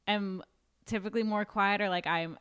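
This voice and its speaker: A woman's voice: medium pitch, fairly even intonation, normal speed, a bit bright, smooth. A young woman in her twenties co-hosting a podcast, chatting casually and reflectively and saying "like" often. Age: 20s. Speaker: podcast co-host. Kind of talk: casual chat.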